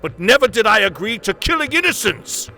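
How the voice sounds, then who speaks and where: An actor playing a loyal, principled servant, formal and earnest, in a radio play. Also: male voice, sits high, big pitch swings, brisk, bright, forceful tone, soft background music, exaggerated voice. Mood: indignant. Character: loyal, principled male servant, anxious and deferential.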